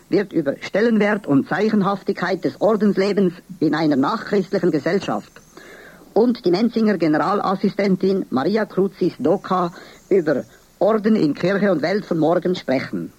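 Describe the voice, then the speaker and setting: A man from Valais with a Swiss accent, presenting church news on the radio in a formal, measured reading. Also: sits high, lively intonation, darkish tone, no echo. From Valais, Switzerland. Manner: formal, measured, clear delivery.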